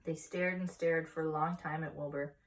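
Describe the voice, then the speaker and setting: A woman's voice: sits low, fairly even intonation, moderate pace. A woman reading a children's storybook aloud as a story-time narrator. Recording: voice distant from the mic.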